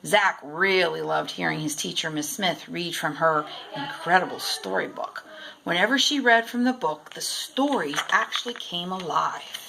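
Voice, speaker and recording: A woman reading a children's storybook aloud in expressive, deliberate narration. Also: fairly low pitch, gravelly, noisy background. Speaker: storybook reader.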